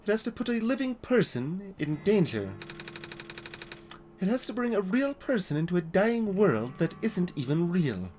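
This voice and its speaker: A man voicing a small, gentle robot fox from a video game, wistful and knowing, in soft, measured explanations. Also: high-pitched, big pitch swings, slight background noise. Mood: grave, explaining. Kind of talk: video game character dialogue read aloud. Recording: music behind the voice.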